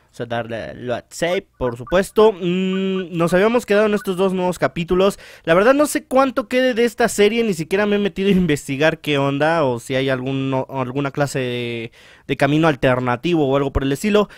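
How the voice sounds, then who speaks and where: A man hosting a visual novel let's-play, chatting casually and reading the text aloud in a halting way, often correcting himself. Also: a little high. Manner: halting read-aloud with stumbles and self-corrections. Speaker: gaming channel host.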